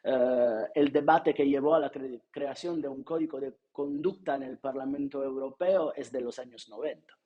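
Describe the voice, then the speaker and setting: A man's voice: medium pitch, normal speed, hardly any pauses, lo-fi sound. A man with an Italian accent whose first language is Italian, giving an academic lecture at a seminar in a hesitant way, with frequent filler sounds and restarts. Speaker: seminar speaker.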